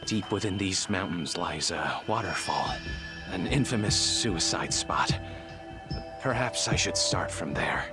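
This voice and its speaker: A reserved middle-aged clinic director in a video game drama. His voice is deep, with formal, measured phrasing. Music plays clearly underneath. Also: lively intonation. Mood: somber. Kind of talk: English video game dub acting.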